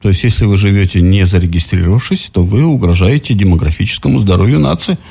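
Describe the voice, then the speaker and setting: A man's voice: fairly low pitch, fast, vocal fry, mellow, breathy edge. A man in his late forties co-hosting a radio talk show, speaking casually, bluntly and dismissively. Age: late 40s. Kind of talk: casual opinionated on-air banter.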